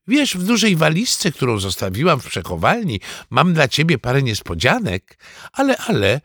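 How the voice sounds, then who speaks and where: A man's voice: fairly high pitch, huge pitch swings, normal speed, fairly bright tone, breathy edge, dry recording, clean studio sound, voice pushed up, full character voice. A man voicing a doting, fussy old grandmother in a storybook reading, gushing and cooing.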